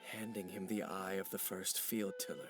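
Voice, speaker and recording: A man playing a brooding, serious investigator in a video game, speaking in a formal, deliberate and grave way. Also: sits low, flat delivery, over music, lightly acted. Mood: troubled, solemn. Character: brooding, serious male investigator, measured and cryptic.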